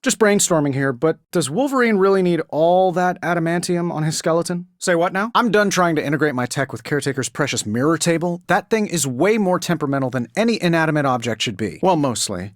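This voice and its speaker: An actor in a video game playing a cocky, quick-witted billionaire inventor superhero with a sardonic, glib, conversational delivery. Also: male voice, fairly high pitch, lively intonation, dry recording, clean studio sound, natural voice. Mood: exasperated, sarcastic.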